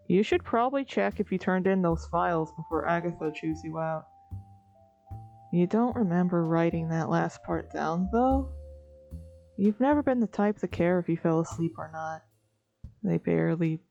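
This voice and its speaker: A woman's voice: lowish voice, vocal fry, lo-fi sound. A woman narrating a comic dub in the second person, with a wry, weary, conversational delivery. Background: soft music.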